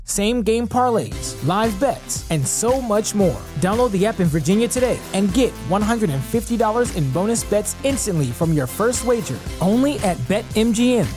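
A woman reading a scripted ad in a brisk, upbeat voice-over. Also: medium pitch, darkish tone. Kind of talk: scripted ad read.

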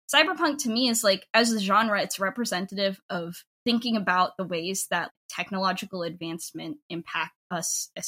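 Bright, earnest American woman. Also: medium pitch. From United States. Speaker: interview guest, audio drama creator. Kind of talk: casual, reflective interview talk.

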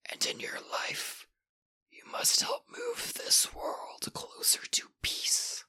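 An ancient rasping Martian creature. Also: male voice, pushed and bright. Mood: solemn. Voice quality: rasping whisper. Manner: slow, solemn, ominous. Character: ancient whispering gray Martian creature, stern and otherworldly. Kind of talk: audiobook character voice.

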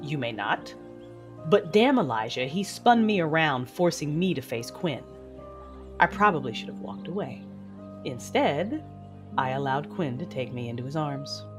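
A woman playing a conflicted, commanding Sith noblewoman in an audio drama, measured and controlled, with dramatic delivery. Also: sits low, expressive. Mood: guarded, defiant. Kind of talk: first-person audio drama narration and dialogue. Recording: music behind the voice.

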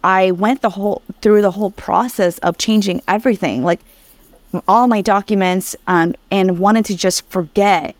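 A woman's voice: mid-range voice, steady tone, normal speed, no room sound. A woman from Vietnam speaking earnestly and reflectively as an interview guest about personal experience. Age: adult. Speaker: interview guest, career coach.

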